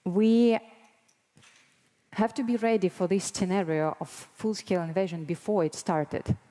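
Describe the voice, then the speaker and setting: A woman in her thirties with a Ukrainian accent, giving an earnest, deliberate prepared presentation as a guest speaker. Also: mid-range voice, steady tone, dark tone. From Mariupol, Ukraine. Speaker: guest speaker, broadcast board member. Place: large conference hall, on stage. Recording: long hall reverb.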